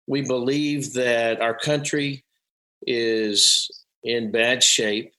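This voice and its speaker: A man, a pastor, speaking in a conversation, slow and deliberate, with some hesitations. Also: mid-range voice, steady tone, pushed and bright, rough recording. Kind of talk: conversational interview.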